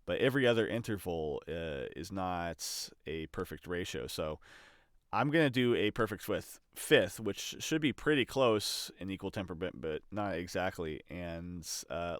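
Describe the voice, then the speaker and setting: A man's voice: low-pitched, no room sound, clean studio sound. A man giving a casual, explanatory screen demonstration, thinking aloud in a hesitant way as he presents an audio production tutorial.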